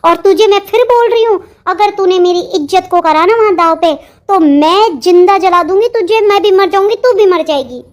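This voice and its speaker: A woman's voice: very high voice, moderate pace, hardly any pauses. A woman voicing a middle-aged, stern and bossy mother of a bride in a melodramatic Hindi cartoon, nagging and threatening as she talks. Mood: stern, warning.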